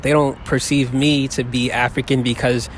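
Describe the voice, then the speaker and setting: A man's voice: medium pitch, moderate pace. A young man, chatting animatedly in a street interview outdoors. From the Bronx, New York. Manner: animated storyteller, laughs often. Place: outdoor plaza, city park. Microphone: handheld phone held to him. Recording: faint outdoor city noise.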